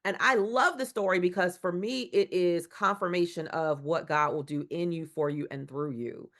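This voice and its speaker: A woman with a lowish voice speaks as a podcast guest. She talks earnestly and emphatically, stacking repeated phrases the way a preacher builds a point. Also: moderate pace, fairly bright tone. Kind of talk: conversational interview.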